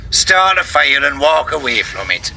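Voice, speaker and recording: A man playing a gruff, protective, reproachful uncle in a naturalistic radio drama. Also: on the high side, big pitch swings, a little slow, bright, forceful tone, heavily acted. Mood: accusing, worried. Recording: noisy location ambience.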